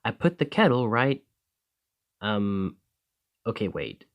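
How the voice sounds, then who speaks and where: Chatty village alchemist played by a man for fantasy ASMR roleplay: lowish voice, very lively intonation, eager and a touch flustered. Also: slow, muffled recording, background noise. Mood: flustered, cheerful. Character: friendly, excitable male village alchemist in a fantasy shop. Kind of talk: ASMR roleplay addressed to the listener.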